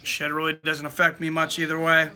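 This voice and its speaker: High-pitched guy doing casual live stream chat, reading out comments, really bright voice. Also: monotone, brisk. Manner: chatty, reads viewer comments aloud, urges likes.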